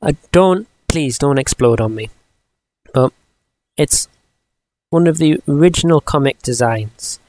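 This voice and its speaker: Higher-pitched young man, rambling casual vlogger. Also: animated, a little mellow. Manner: rambling, chatty, halting mid-sentence. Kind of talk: casual comics review vlog.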